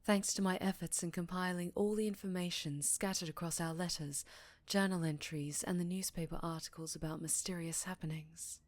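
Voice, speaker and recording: A woman playing a haunted, sorrowful vampire in an ASMR roleplay, telling her tale slowly with a Southern accent and a breathy voice that often slips into whispers. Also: fairly low pitch, fairly even intonation, no echo, close to an everyday voice. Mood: wistful, recounting. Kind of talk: ASMR roleplay, intimate dramatic storytelling.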